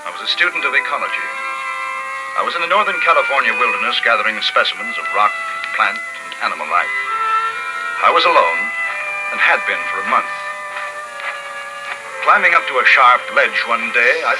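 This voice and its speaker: An actor playing an earnest, shaken young ecologist who survives alone, narrating a 1950s radio drama with dramatic, halting pauses. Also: male voice, mid-range voice, sing-song, lightly acted, low-quality recording. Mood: tense, suffering. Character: lone male ecology student, snakebitten survivor, earnest and shaken. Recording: vintage 1950s radio recording, music behind.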